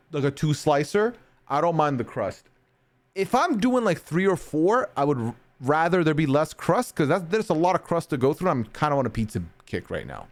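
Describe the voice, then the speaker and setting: A man streaming and answering chat in a rambling, casual way with frequent laughs, his accent sometimes taken for British. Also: fairly high pitch. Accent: mixed, sometimes heard as British. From North America. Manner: chatty, rambling, laughs often.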